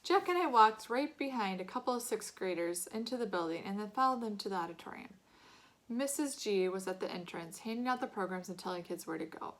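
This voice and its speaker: A woman's voice: medium pitch, lively intonation, a little fast, rough recording. Woman reading a children's story aloud. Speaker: audiobook-style reader. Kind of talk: expressive scripted narration of children's novel.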